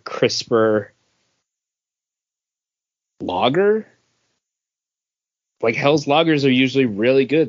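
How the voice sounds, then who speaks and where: A man talking informally and slangily as a co-host on a casual podcast recorded over an internet call. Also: mid-range voice. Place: remote voice call from home. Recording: dull lo-fi internet call audio.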